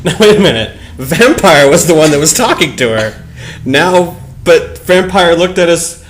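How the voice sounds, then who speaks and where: A man's voice: fairly high pitch, lively intonation, moderate pace, roomy sound, a little hiss or hum. A man giving a mocking dramatic reading with casual, amused, sarcastic commentary. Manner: amused, sarcastic, often breaking into giggles. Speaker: commentary show host.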